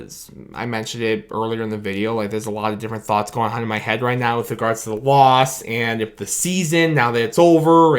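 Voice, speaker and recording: Exhausted young man losing his voice. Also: medium pitch. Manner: rambling, repetitive, grateful sign-off. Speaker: sports fan video host. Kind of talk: late-night post-game reaction monologue. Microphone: camera mic with his own light.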